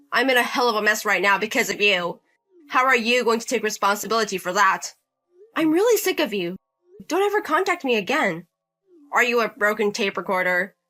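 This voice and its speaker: A woman performs a bullying elder brother-in-law from a narrated story drama. He talks down to everyone, bossy and contemptuous, his bright, tense mid-range voice pushing every demand. A faint music bed runs underneath. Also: mid-range voice, lively intonation, moderate pace. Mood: scornful. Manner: demanding, scornful, condescending. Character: bullying entitled older brother-in-law, man in his thirties.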